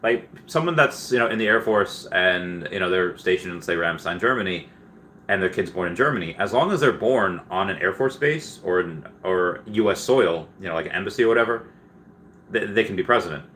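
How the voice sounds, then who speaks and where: A man's voice: low voice, animated, brisk, fairly bright tone, slight background noise. A man from Peru hosting a casual livestream, reading out and answering viewer comments in a rambling, stop-start, slangy way. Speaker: livestream host.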